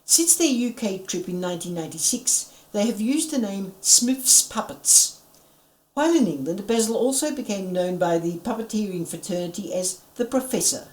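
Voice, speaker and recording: Lively woman narrator, echoey recording. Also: medium pitch. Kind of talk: scripted documentary narration. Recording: echoey, roomy voice-over recording.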